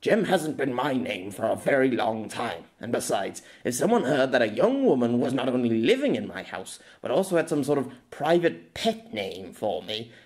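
A man voicing a weary, grumpy gentleman with a dry, curt, exasperated manner. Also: mid-range voice, sing-song, normal speed, few pauses, natural voice. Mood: dry exasperation. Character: grumpy, weary well-off older man from fantasy world.

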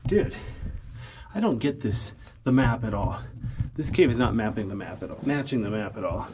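A man's voice: fairly low pitch, expressive, moderate pace. A man thinking aloud as he films his way through a lava tube cave, rambling and correcting himself as he goes. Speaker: cave explorer filming a walkthrough. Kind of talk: casual spoken vlog narration. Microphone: handheld camera. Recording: loud noise, echoey cave.

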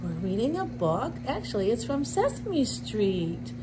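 A middle-aged woman reading a picture book aloud to young children, with deliberate simple phrasing and little asides. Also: on the high side, sing-song, background noise. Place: indoor classroom with sink and counter.

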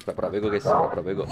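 A man's voice: fairly low pitch, very noisy. A young man giving casual, slangy gameplay commentary, repeating words in bursts. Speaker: gaming video host. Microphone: gaming headset at a desk.

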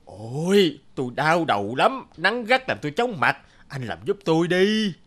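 A man's voice: fairly high pitch, sing-song, moderate pace, a bit bright. A male actor voicing a lazy, scheming trader who complains in a whiny, exaggerated way for a folk-tale cartoon. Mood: whining. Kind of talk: animated folk-tale dubbing.

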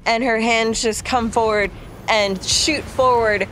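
A woman playing a tabletop roleplaying game, casually and excitedly describing what her character does. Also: a little high, takes it slowly, a little hiss or hum, rough recording. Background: soft cinematic music.

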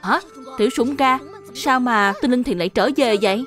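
A woman's voice: fairly high pitch, normal speed, fairly bright tone, faint music behind, lightly acted. A woman voicing an earnest, questioning young boy who is an older brother, in a children's cartoon dub. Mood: concerned.

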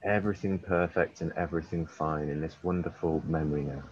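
A man leading a guided hypnosis session as a hypnotherapist, using repetitive, drawn-out and emphatic suggestive phrasing. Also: very deep voice, fairly even intonation, a little mellow, slight background noise. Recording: roomy, slightly dull recording.

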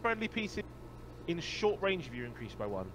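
A man's voice: on the high side, big pitch swings, brisk. A man playing a tabletop game, casually explaining the rules step by step and repeating his phrases. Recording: clear background noise on voice call.

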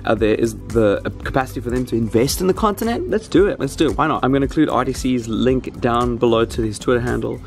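A South African man vlogs in an informal, rambling way, talking to a camera held at arm's length. His voice sits at a middling pitch, and soft music plays behind him. He sounds like he is thinking out loud to his viewers. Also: fast talker, gravelly, hardly any pauses. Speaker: gaming vlogger and host. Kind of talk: casual vlog chat to camera.

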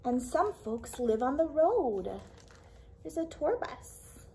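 High, sing-song young woman reading to children. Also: slow, slight background noise, rough recording. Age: young adult. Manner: expressive, with questions and asides to young listeners. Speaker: children's librarian reading aloud. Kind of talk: picture book read-aloud for small children. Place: small indoor library room with a puppet theatre.

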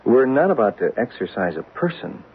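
A man in a 1970s radio drama playing a calm, authoritative ghost hunter and medium. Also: mid-range voice, moderate pace, close to an everyday voice. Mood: matter-of-fact. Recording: tinny noisy vintage radio broadcast.

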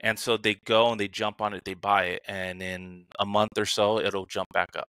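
A man's voice: lowish voice, flat delivery, normal speed, sharp tone, clean tone, no echo, clean studio sound. A man co-hosting a podcast over a remote call, telling stories casually and acting out his inner dialogue animatedly. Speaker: podcast co-host. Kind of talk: casual podcast chat, anecdotal storytelling.

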